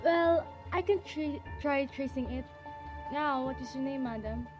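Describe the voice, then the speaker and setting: A woman's voice: high-pitched, measured pace, over music. A young woman playing an officious, skeptical chief telephone operator in a radio drama, formal and businesslike. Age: young adult. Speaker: student actor in a class radio drama.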